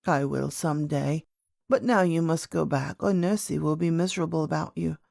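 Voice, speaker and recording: Ancient storybook grandmother queen, played by a woman: low, unhurried, gentle, enigmatic, softly creaky. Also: dry recording, clean studio sound. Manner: calm, measured, kindly, enigmatic. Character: very old, gentle, mysterious great-great-grandmother queen. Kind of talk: storybook character voice.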